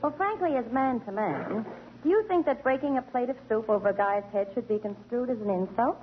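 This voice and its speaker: A woman's voice: fairly high pitch, animated, brisk, slight background noise. A woman acting in a 1940s radio comedy, brassy and tough-talking as a guest star. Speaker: guest star singer Miss Neeson. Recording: muffled old radio broadcast with band.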